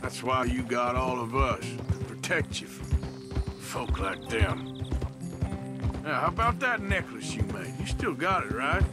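An actor playing a gruff, wry American outlaw in a Western video game, with a drawl and a dryly sardonic manner. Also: male voice, mid-range voice, lively intonation, measured pace, loud music behind the voice, close to an everyday voice. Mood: reassuring. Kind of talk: dramatic video game acting.

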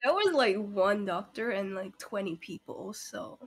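A woman with a raspy, hoarse voice from being sick, chatting casually with friends while gaming. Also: medium pitch, animated, unhurried, a crowd in the background. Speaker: streamer playing with friends.

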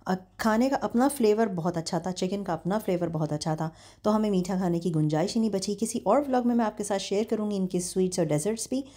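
A woman in her thirties narrating her restaurant review vlog in a calm, friendly way, mixing in English words. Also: medium pitch, fast. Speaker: food vlogger. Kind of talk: scripted voice-over narration.

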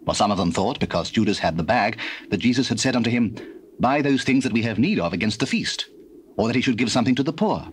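A man's voice: mid-range voice, lively intonation, fast. A man narrating dramatized scripture in a formal, theatrical storytelling manner. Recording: noisy old cassette recording.

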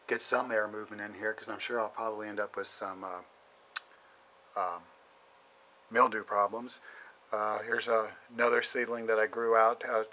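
A middle-aged man giving a casual, halting tour of his basement plant shelves, with many ums and frequent throat clearing, recorded on a handheld camera. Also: on the low side, pushed and bright, low-quality recording. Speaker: home plant grower. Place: indoor basement grow shelves.

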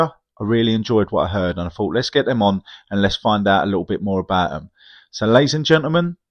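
A man hosting a casual podcast interview over a video call, chatty and laddish, swearing freely. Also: on the low side. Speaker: podcast host.

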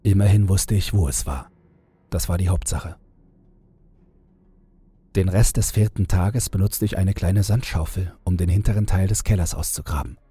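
Deep, husky male horror narrator. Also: normal speed, long pauses, mellow, dry recording. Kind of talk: scripted creepypasta audiobook narration. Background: background music.

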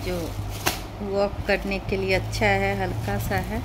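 A woman's voice: mid-range voice, completely flat delivery, unhurried. Middle-aged woman, noisy recording. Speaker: vlogger. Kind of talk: casual unboxing narration to viewers. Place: indoor room at home. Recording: clearly noisy home phone recording. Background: clear background noise.

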